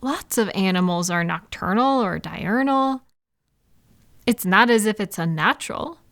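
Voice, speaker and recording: A woman playing a supportive, gentle female friend in an intimate, casual comfort roleplay, speaking in a reassuring, validating way. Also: medium pitch, lively intonation, measured pace, no room sound. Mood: mildly indignant. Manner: reassuring, validating, softly indignant on listener's behalf. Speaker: audio roleplay performer.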